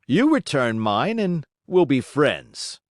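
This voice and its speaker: Man putting on a high-pitched, excitable little old man. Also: takes it slowly, no echo, clean studio sound. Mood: indignant. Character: dapper, hot-tempered old man, proud and excitable.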